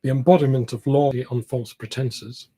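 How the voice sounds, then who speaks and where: A man with a slightly husky voice reading a classic novel aloud, now and then stumbling over words. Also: mid-range voice, steady tone, normal speed, mellow. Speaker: amateur audiobook reader, library team member.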